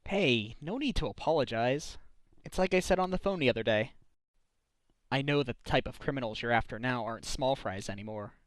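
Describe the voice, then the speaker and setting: A man's voice: mid-range voice, a little fast, dry recording, natural voice. A man voicing an earnest male defense attorney in a fan dub, given to exclamations.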